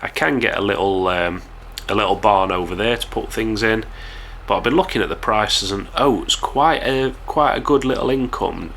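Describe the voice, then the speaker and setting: A young man from England with a hoarse voice from a cold, chatting casually to viewers while commentating a game. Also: fairly low pitch, a little hiss or hum. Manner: chatty, relaxed, talks straight to viewers. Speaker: gaming YouTuber.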